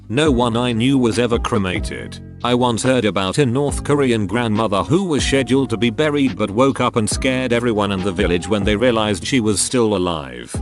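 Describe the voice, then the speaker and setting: Male narrator, low-pitched and animated, reading forum posts in a steady scripted way, faint music underneath.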